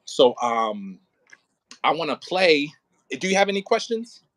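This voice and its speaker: A man coaching an actor casually as an audio play director, thinking aloud in halting, repetitive phrases and sounding encouraging. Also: on the high side, big pitch swings, a bit bright, dry recording.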